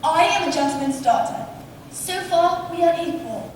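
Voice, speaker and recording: Woman acting high-voiced proud young gentlewoman. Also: expressive, normal speed, slight background noise. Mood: proud, firm. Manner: crisp formal retorts. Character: proud, defiant young gentlewoman, quick-witted. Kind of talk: period stage play acting. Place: theatre stage. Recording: echoey stage recorded from far off.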